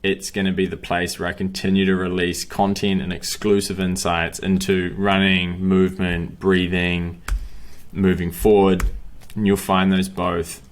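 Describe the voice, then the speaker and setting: A man's voice: low-pitched, little pitch movement, a little slow. A young man coaching runners, wrapping up a course in upbeat, motivational short phrases. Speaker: running and breathing coach. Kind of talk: course wrap-up talk to camera.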